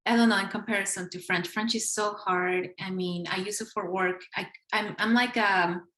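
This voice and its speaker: A young woman from Ecuador speaks with a slight Spanish accent in a medium-pitched voice. She chats casually as a guest, laughing easily and restarting her thoughts. The room around her sounds echoey. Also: steady tone, normal speed, a bit bright. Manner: chatty, laughs often, many restarts. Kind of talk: casual interview chat.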